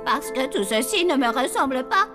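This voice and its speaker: A woman's voice: high voice, big pitch swings, moderate pace, over music. A woman voicing a prim, courteous elderly lady who speaks formally and politely.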